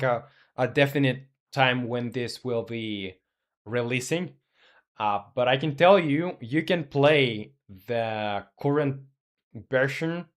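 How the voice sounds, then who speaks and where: A young man in his twenties talks casually on a live stream while building a game. His voice sits in a middle pitch, and he rambles along, thinking aloud with plenty of ums and uhs as he reads and answers chat. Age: 20s.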